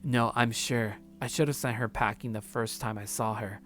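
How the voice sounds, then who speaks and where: A man's voice: mid-range voice, fairly even intonation, normal speed, fairly bright tone, a little hiss or hum. A man streaming a let's play, reading a visual novel aloud and reacting casually.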